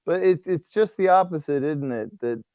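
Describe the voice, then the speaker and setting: A man, a priest, chatting on a livestream and telling stories in an animated way, laughing often. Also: a little high, normal speed, clear, resonant tone, no echo, clean studio sound. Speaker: priest and guest author. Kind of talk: casual livestream conversation.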